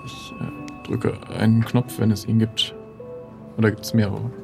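Hesitant gravelly man playing an adventure game. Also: lowish voice, level tone, a little slow, music in the background. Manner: hesitant, thinking aloud. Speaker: player in an improvised role-playing game. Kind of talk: casual improvised in-character dialogue.

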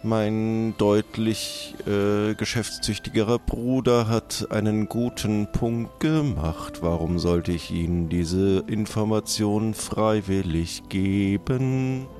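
A man playing a formal, businesslike undertaker with a deliberate, dry manner in an improvised role-play comedy. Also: lowish voice, fairly even intonation, warm tone, soft background music.

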